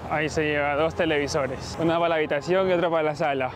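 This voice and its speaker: A young man with a Peruvian Spanish accent, chatting lively and directly to his viewers in a casual vlog. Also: on the high side, quick pace. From Peru. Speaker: travel vlogger. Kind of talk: casual vlog talk to camera.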